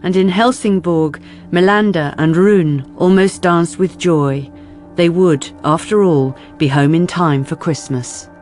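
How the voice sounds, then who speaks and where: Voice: medium pitch, a little slow, a little mellow, soft background music. A narrator reading scripted story passages for a radio drama.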